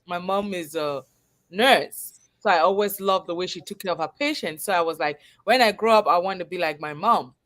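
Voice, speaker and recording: A woman's voice: on the low side, normal speed, fairly bright tone. Cameroonian woman telling her story. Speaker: guest, chef and business owner. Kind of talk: personal storytelling in a live interview.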